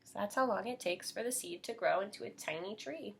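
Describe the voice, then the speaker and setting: A woman's voice: medium pitch, fairly quick, vocal fry, a bit bright, a little hiss or hum. An American woman teaching young children as she reads a picture book aloud, explaining simply and putting questions to her listeners.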